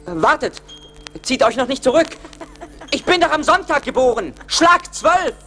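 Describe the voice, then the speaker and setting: An actor playing a naive, eager young peasant lad in a radio play, earnest and imploring. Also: male voice, very high voice, lively intonation, unhurried, bright, forceful tone, faint music behind, heavily acted. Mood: urgent, excited. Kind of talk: 1980s German radio play acting. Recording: vintage LP radio play, audible noise.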